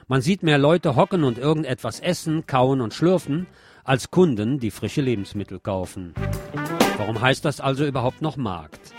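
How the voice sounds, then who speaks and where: A man reading scripted radio news and commentary in a wry, editorializing way. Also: medium pitch. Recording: music bed under the voice.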